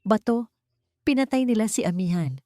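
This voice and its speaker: A woman's voice: mid-range voice, expressive, normal speed, warm tone, pitched above the performer's own voice, lightly acted. Woman voicing an urgent, haunted young male reporter. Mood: urgent, worried. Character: haunted, anxious young man, investigative reporter.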